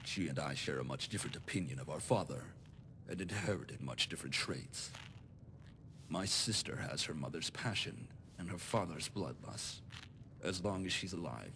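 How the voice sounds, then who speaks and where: A man playing a calculating, self-made nobleman in a video game, speaking in a formal, measured, composed way. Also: rumbling low, animated, background noise. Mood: cool, resolute. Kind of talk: scripted video game dialogue.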